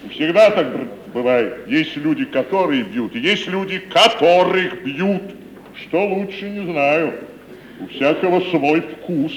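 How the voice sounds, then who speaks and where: A proud, domineering provincial tragedian in a vintage radio play. His male voice is fairly high and very animated, declaiming with lofty condescension. A light hiss from the old recording sits around it. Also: measured pace, highs cut off. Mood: scornful, overbearing. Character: pompous, bullying provincial tragic actor, proud and wistful. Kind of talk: mid-century Russian stage radio acting. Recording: noisy 1950 radio recording.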